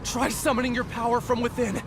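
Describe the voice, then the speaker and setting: An urgent young ally. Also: male voice, very high-pitched, monotone, a little fast, noisy background. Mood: encouraging. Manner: urgent, pleading, shouting encouragement. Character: urgent young male ally guiding the heroine. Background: game music.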